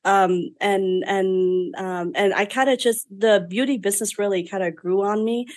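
A woman's voice: mid-range voice, moderate pace. A woman in her thirties from Vietnam, speaking English with a Vietnamese accent, candid and chatty as an interview guest, with fillers and restarts. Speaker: guest, lash business owner. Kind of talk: casual interview storytelling.

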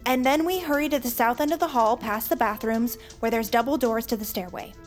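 A young woman playing a bossy, determined girl leader with a brisk, assertive manner in an audio drama. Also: fairly high pitch, soft background music, natural voice. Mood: urgent, insistent. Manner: brisk, assertive, sure of herself. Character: bossy, determined teenage girl leading an escape.

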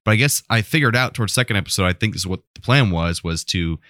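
A man's voice: on the low side, lively intonation, fast talker, a bit bright, no room sound, studio quality. A man co-hosting a podcast, explaining his thoughts in a casual, conversational way. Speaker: podcast co-host. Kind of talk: casual chat about a TV show.

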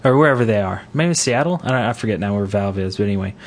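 A man hosting a casual gaming podcast, talking in a halting, rambling way. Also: fairly low pitch, expressive. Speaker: podcast host.

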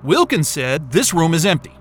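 Audio drama casting: impatient, exasperated stranded man, sharp and highish voice, muttering and cursing, music underneath. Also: very animated, normal speed, breathy edge, heavily acted. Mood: puzzled, wary. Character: frantic, hot-tempered stranded young man, foul-mouthed and impatient. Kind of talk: naturalistic audio drama acting.

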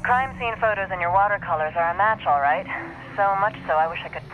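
A man's voice: high-pitched, quick pace. An actor playing a blunt, hard-boiled male police detective in an audio drama, heard through a telephone filter. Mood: brusque, frustrated. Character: brusque male police detective, frustrated but grateful. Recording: tinny phone line with noise.

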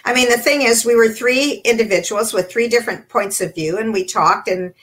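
An older Canadian woman, a children's entertainer, recounting her career in a measured, reflective way. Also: on the high side, fairly bright tone. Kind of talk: interview storytelling.

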